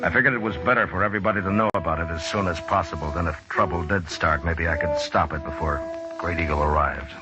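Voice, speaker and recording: An actor playing a steady, weary frontier lawman in a 1950s radio western, measured, earnest and understated. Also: sits low, natural voice. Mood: brooding narration. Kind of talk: 1950s radio drama acting. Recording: dull old radio recording with music.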